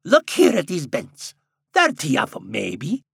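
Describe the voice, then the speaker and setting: Man putting on an excitable, high-pitched old seaside rustic. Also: gravelly, breathy edge, no room sound, studio quality, exaggerated voice. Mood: ominous, preachy. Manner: archaic rustic speech, drawn-out exclamations.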